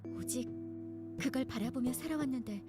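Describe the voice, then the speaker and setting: An actress plays an earnest young woman lawyer in a visual novel game, wounded and guarded. Her soft-spoken voice sits fairly high and moves very quickly. Music plays prominently behind her. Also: lightly acted. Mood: earnest, sorrowful. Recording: background music throughout.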